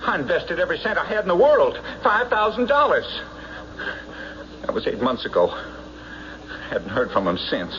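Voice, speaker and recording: A man playing a desperate, hot-tempered war veteran in an old radio drama, speaking in an agitated, halting, insistent way on a muffled recording. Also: on the high side, sing-song, noisy background. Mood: aggrieved, pleading.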